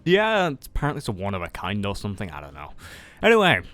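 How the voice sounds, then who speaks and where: A man's voice: lowish voice, wildly swooping pitch, fast, a bit bright. A man playing a world-weary, sarcastic final-year student in a casual roleplay, muttering hesitant asides. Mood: casual, awkward. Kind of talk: casual improvised Minecraft anime roleplay. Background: music.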